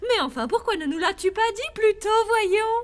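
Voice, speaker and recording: Very high, gushing schoolteacher. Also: female voice, expressive, moderate pace, a little hiss or hum. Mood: sweet. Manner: effusive. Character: gushing, sweetly polite female schoolteacher. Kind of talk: animated cartoon dub acting. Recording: faint music under the voice.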